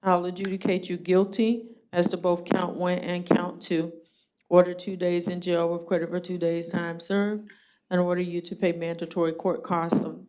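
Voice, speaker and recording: A woman judge running a jail court hearing, brisk and plainspoken with a dry joking streak. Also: lowish voice, darkish tone.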